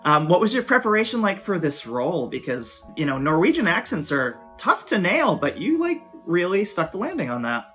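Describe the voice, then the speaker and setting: Low-voiced host lady asking questions, very lively tone, soft music behind. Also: moderate pace, few pauses. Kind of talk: interview questions.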